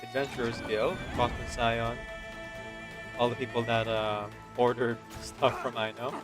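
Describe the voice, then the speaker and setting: Male game streamer over clear music. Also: medium pitch, little pitch movement, measured pace. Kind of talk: casual gameplay commentary.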